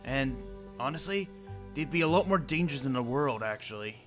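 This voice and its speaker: A man's voice: mid-range voice, lively intonation, moderate pace, faint music behind. A young man roleplaying an old immortal wizard, rambling and restarting his sentences. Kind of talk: in-character roleplay chat.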